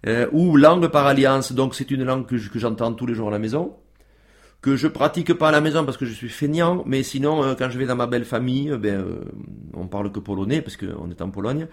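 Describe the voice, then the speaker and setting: A man's voice: medium pitch, fairly quick. A man as a guest on a radio show, talking casually with many fillers and restarts.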